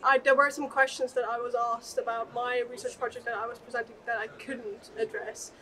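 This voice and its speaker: A woman's voice: a little high, little pitch movement, a little fast, fairly bright tone, distant mic. A young woman from Austria, a PhD student, answering questions thoughtfully with small fillers and restarts. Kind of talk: answering interview questions to camera. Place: large open atrium, indoors.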